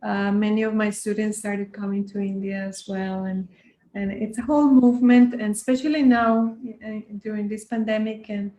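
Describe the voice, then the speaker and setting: A woman's voice: medium pitch, fairly even intonation, moderate pace. Earnest woman yoga teacher, echoey room. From Costa Rica. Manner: soft-spoken, earnest, frequent restarts. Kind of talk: reflective interview talk.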